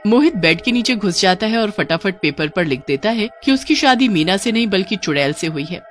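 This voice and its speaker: A woman's voice: medium pitch, animated, brisk, soft background music. A woman storyteller giving dramatic scripted narration of a cartoon horror story.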